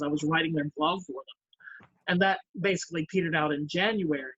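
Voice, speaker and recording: Husky, high-pitched man, halting. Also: no echo. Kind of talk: casual chat. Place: group video call. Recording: dry, dull lo-fi call audio.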